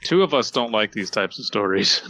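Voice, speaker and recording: A man's voice: lowish voice, animated, moderate pace, pushed and bright, a little hiss or hum. A man co-hosting a podcast, reading stories aloud and bantering casually in a sardonic, sweary way. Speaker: podcast co-host.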